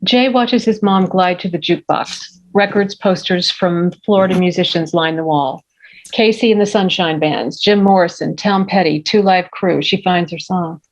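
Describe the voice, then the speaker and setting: A woman's voice: lowish voice, normal speed. A woman reading scripted stage directions as the narrator of a table read. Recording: dull, lo-fi audio.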